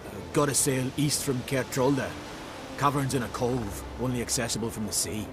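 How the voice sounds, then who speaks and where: A man's voice: mid-range voice, moderate pace, background noise, lightly acted. A man playing an earnest, eccentric island bard in a fantasy game, speaking in folksy, archaic phrasing. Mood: enthusiastic. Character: eccentric eager male island bard obsessed with legends. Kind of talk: video game cutscene acting.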